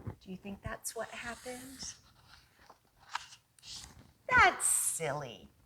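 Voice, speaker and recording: A woman's voice: on the high side, huge pitch swings, drawn-out words, very noisy. A woman hosting a children's storytime, reading aloud expressively and coaxingly putting questions to young listeners.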